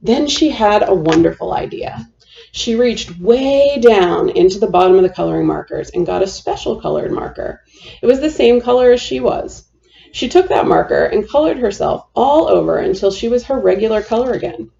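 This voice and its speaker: Female storytime reader, mellow with some gravel, deliberate children's-book cadence, slightly dull lo-fi recording. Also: medium pitch, expressive. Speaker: storytime host. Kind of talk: children's picture book read-aloud.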